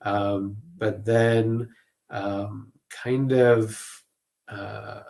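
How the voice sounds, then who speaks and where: A man's voice: fairly low pitch, little pitch movement, extremely slow, vocal fry, darkish tone. A man answering interview questions as a guest, conversational, reflective and a little hesitant. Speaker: guest clinical social worker.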